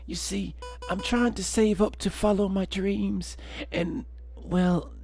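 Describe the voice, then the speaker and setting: A man's voice: high-pitched, fairly even intonation, slow, gravelly, darkish tone, dry recording, soft background music, voice pushed up, heavily acted. A man voicing a polite, apologetic young housemaid bird who speaks hesitantly in a video game. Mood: sheepish, confiding.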